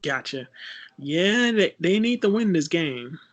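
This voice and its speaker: A man co-hosting a casual sports chat, relaxed and laughing, giving short replies. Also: on the high side, slow pace, no echo. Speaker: co-host.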